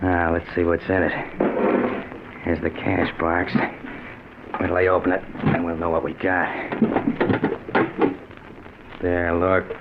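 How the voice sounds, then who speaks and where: Crime radio play: pushy small-time burglar, low and gruff, slangy, on a muffled vintage recording with heavy noise. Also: male voice, flat delivery, normal speed, long pauses. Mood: gloating. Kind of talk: old-time radio crime drama acting.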